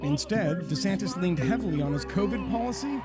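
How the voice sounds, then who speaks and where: A man's voice: high voice, animated, fairly quick. Male news narrator over music.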